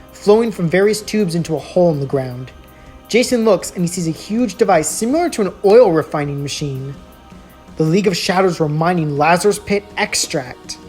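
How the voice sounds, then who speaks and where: A woman's voice: fairly low pitch, a little mellow. A woman reading a scripted story as a storyteller, in dramatic narration. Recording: music laid under the voice. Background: music.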